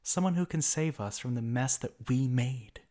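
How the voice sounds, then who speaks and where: A man's voice: mid-range voice, animated, moderate pace, mellow, close to an everyday voice. A man playing a bitter, mocking villain in an ASMR roleplay monologue, speaking theatrically with sardonic asides and often dropping toward a whisper. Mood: bitter, accusing. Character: bitter, mocking male villain revolutionary monologuing at a fallen hero. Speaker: voice actor in an audio roleplay.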